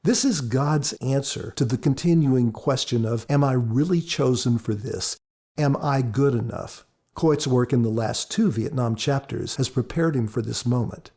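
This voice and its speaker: A man with a husky voice, an author giving earnest, measured, reflective commentary on a story. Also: mid-range voice, warm tone. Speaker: author and podcast guest commentator.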